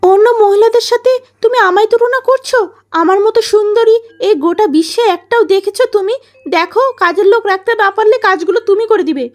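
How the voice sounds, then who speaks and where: A woman's voice: very high-pitched, brisk, faint music behind. A woman voicing a vain, selfish young wife in a cartoon story, wailing and petulant in a melodramatic way.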